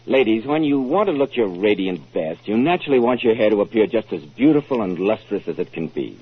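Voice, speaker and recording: Animated American 1940s radio announcer, male. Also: on the low side, a little fast, faint music behind. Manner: polished, emphatic sales delivery. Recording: muffled 1940s radio recording.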